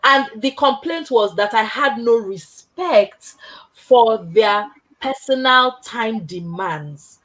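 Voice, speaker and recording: A woman with a husky, slightly breathy voice pitched a little high, hosting a relaxed conversation about careers. She tells stories with animation, laughs often and drives her points home with emphatic tag questions. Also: slow. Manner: emphatic, tags points with "right?". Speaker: host. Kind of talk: casual chat.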